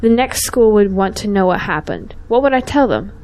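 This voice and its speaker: A woman's voice: medium pitch, animated, normal speed, a little mellow, natural voice. A young woman playing a principled, outspoken schoolteacher in an audio drama, earnest and pointed. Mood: worried. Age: young adult.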